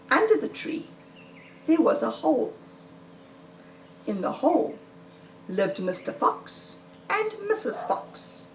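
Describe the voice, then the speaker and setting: A high-voiced woman reads a children's storybook aloud with dramatic emphasis. Her pitch swings in a lively way as she narrates. The recording is roomy and echoing. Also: a little hiss or hum. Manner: deliberate, dramatic read-aloud delivery with emphasis. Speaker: storyteller reading a children's book. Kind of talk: expressive scripted storybook narration. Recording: roomy echo, distant microphone.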